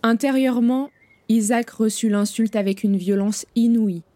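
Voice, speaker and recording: Mellow woman narrating gravely. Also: fairly high pitch, fairly even intonation, dry recording. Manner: measured, grave storytelling. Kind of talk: scripted narration of an audio drama.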